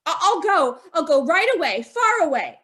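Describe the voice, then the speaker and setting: A woman's voice: very high voice, very animated, moderate pace, a bit bright, heavily acted. A woman playing a terrified young wife with a noose around her neck in an old-time radio drama, pleading and sobbing. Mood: bitter. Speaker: radio actor.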